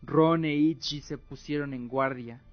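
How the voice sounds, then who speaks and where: A man's voice: on the high side, steady tone, measured pace, no room sound. A man reading dramatic scripted narration as the narrator of a radio drama. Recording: muffled audio with music. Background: faint music.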